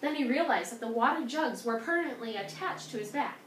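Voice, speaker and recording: A woman tells a storybook folktale with a very lively, swooping delivery. She is expressive and emphatic. The recording is roomy, picked up some way from the mic, with faint noise. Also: on the high side, a little fast, recorded from a distance. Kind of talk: dramatic storybook narration of a folktale.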